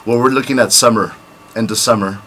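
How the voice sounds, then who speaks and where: A man with a low but bright, forceful voice talks about his music as a radio guest. He is laid back and hesitant, filling gaps with many uhs. Faint hiss lies under the studio sound. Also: fairly even intonation, quick pace. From United States. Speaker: rapper and producer. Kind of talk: radio interview chat.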